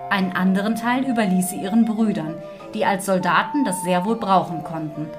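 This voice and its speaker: Female narrator, mid pitch, scripted fairy-tale storytelling, music behind. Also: a little fast.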